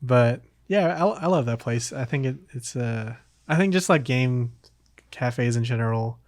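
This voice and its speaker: A man's voice: medium pitch, lively intonation, measured pace, no echo. Man chatting casually, close and dry. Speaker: podcast host. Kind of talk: casual podcast chat about games.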